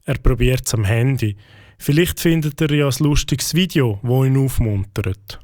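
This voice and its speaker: A man's voice: medium pitch, moderate pace, a little mellow, no echo. A man narrating a cartoon, in a sympathetic storytelling manner.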